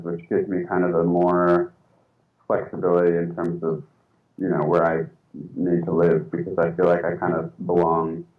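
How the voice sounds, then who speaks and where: Deep-voiced American-accented man on a phone line. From Mexico. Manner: thoughtful, hesitant, many fillers. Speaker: guest writer. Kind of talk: phone-in interview. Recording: tinny echoey phone line.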